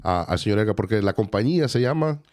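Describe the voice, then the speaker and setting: A man's voice: on the low side, expressive, rapid-fire, darkish tone, no echo, studio quality. A man co-hosting a podcast in casual chat, upbeat, chiming in often and switching into Spanish. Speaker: podcast co-host.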